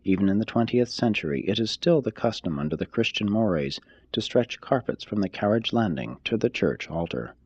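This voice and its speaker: A man reading a scripted expository text aloud like an audiobook, in a formal, measured manner. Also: lowish voice, lively intonation, breathy edge, no echo, highs cut off. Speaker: reader, narrator.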